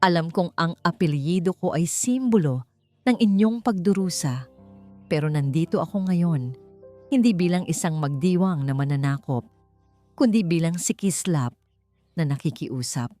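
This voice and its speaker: A calm, resolute young heir standing up to a powerful father, voiced by a woman narrator in an audiobook. The voice is slightly low and firm, with expressive intonation over soft music. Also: normal speed, lightly acted. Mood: pleading. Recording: music bed behind the voice.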